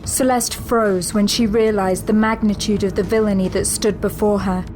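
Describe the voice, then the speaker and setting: Music plays clearly behind a woman narrator. Her voice sits at an average pitch, and she delivers scripted, dramatic audio drama narration. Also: steady tone, normal speed, low-quality recording.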